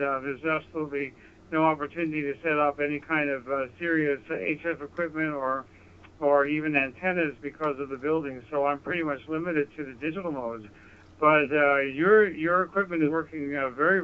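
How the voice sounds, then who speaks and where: A man's voice: a little high, steady tone, moderate pace, clean tone. A man chatting as an amateur radio operator, courteous and complimentary, heard over a digital radio link. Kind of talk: casual ham radio contact. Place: apartment, transmitting over radio. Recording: narrow phone-like digital radio audio.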